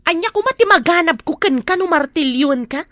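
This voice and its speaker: A scheming, greedy wife in an Ilocano radio play, her voice pitched very high and set close and dry. She snaps orders in a sharp, demanding tone. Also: animated, brisk, no room sound. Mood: bossy. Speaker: scheming lady of the house. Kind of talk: radio drama acting.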